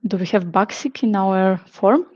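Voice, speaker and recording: A woman's voice: medium pitch, moderate pace. Woman on muffled audio. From Russia. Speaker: quiz master. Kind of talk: online event talk.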